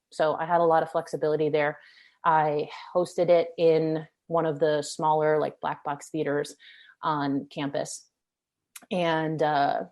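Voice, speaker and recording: A woman's voice: low-pitched, darkish tone. A young woman, a singer-songwriter, chatting casually in an interview, rambling with many fillers.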